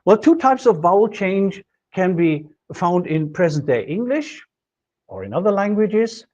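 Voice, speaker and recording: Middle-aged guy lecturing, fairly high voice, slow and explanatory, dull lo-fi classroom sound. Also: very animated, a little mellow. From Germany. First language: German. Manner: measured, explanatory, writes on a board while talking. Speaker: linguistics lecturer. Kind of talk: recorded university lecture. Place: classroom with a projection screen.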